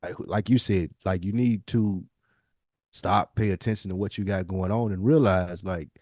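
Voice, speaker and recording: A man's voice: low voice, steady tone, normal speed, a little mellow, dry recording, studio quality. A man co-hosting a podcast in casual chat, with an emphatic, informal way of talking. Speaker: podcast co-host.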